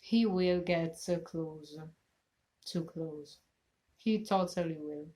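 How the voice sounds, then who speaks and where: A young woman in her twenties with an Italian accent, chatting casually as she reacts to a TV episode, often pausing to search for English words. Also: deep voice. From Italy. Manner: searching for words, many fillers, animated hand gestures. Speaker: reaction channel host. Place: small bedroom, indoors.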